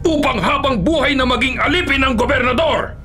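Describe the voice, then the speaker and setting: A commanding Filipino steward of a governor, very high in pitch for a man. He barks his orders with stern authority over prominent music. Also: fairly quick. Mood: stern, accusing. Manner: barking, authoritative. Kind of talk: Filipino radio drama acting.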